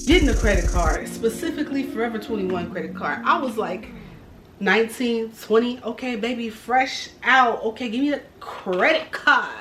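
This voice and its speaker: A woman in her twenties talks candidly in a vlog, blunt and sassy, swearing freely. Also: medium pitch. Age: 20s. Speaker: vlogger. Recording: roomy, mic at a distance, music behind.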